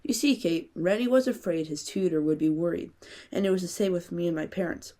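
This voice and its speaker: A woman's voice: lowish voice, expressive, fairly quick, mellow, close to an everyday voice. A woman playing an anxious, squeamish, brainy child, wordy and fretful, in a family audio drama. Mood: earnest, nervous. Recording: very echoey, distant, faint noise.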